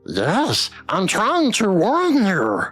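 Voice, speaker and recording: A woman's voice: medium pitch, wildly swooping pitch, very slow, slightly rough, soft background music, exaggerated voice. A woman voicing a small, frightened old toy from a visual novel, giving urgent, dramatic warnings. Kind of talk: visual novel character voice read aloud.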